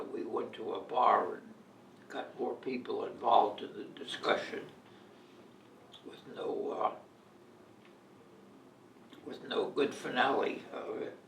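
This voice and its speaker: An elderly man in his eighties or older telling personal stories in a halting, deliberate way, as in an interview. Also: medium pitch, vocal fry, fairly bright tone. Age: 80s or older. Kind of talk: reminiscing, personal storytelling. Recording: echoey room, distant mic, faint noise.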